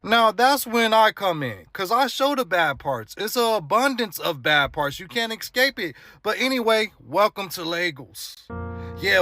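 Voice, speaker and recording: A man's voice: high voice, sharp tone. A man giving a sarcastic vlog commentary, firing off rhetorical questions. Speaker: vlogger. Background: music.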